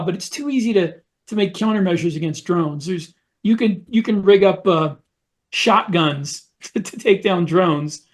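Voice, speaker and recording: A man with a noticeably high-pitched voice speaks as a podcast co-host. He explains and recounts things conversationally, like someone telling a story to friends in a casual panel discussion.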